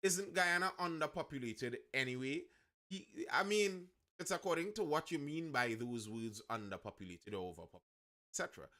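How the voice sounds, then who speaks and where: Male live-stream host, Trinidadian accent, bright forward voice, slightly high pitch, conversational news commentary. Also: expressive, normal speed. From Trinidad and Tobago.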